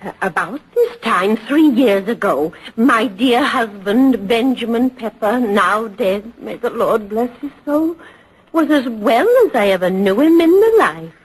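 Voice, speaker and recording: An aggrieved country widow in an old radio courtroom drama. Her voice is fairly high and husky, earnest and respectful, with a complaining edge. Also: expressive, unhurried, exaggerated voice, poor audio. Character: plain, aggrieved country widow giving testimony.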